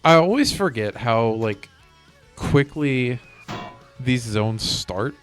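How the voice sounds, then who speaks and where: A man's voice: mid-range voice, animated, slow pace. Casual male game streamer thinking aloud. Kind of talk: casual live gameplay commentary. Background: game music.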